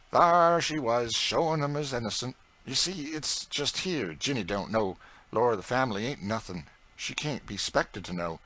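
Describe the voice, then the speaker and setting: A boastful, chattering woman cook with thick old rural dialect speech, as a male reader puts her on. She swoops through a lively, raised pitch and talks loud and bright, scolding and bragging in turn. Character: proud talkative woman cook, middle-aged. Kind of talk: audiobook character reading.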